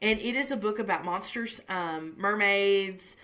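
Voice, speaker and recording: Bright-voiced woman gushing about books. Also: medium pitch, a little slow. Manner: gushing and chatty, with many fillers. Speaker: podcast co-host. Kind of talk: casual chat.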